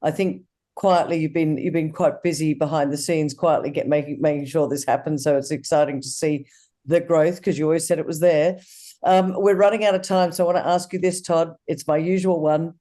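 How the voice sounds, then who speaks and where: A man hosts a business interview, his voice mellow and starting to give out. He speaks in an upbeat, promotional way, wrapping up with enthusiasm. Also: fairly high pitch, steady tone, brisk. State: losing voice. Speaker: interview host.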